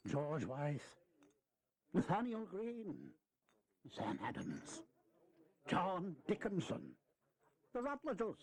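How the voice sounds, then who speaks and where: Genial old statesman, high lively pitch, slow theatrical delivery, teasing wit, historical audio drama. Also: no echo, full character voice. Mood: earnest, rhapsodic.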